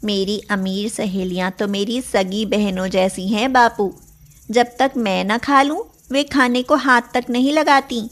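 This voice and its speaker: High-pitched young schoolgirl voiced by a woman. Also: steady tone, unhurried, close to an everyday voice. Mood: earnest, affectionate. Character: emotional, loyal young schoolgirl in a moral tale. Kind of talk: acted Hindi moral cartoon story.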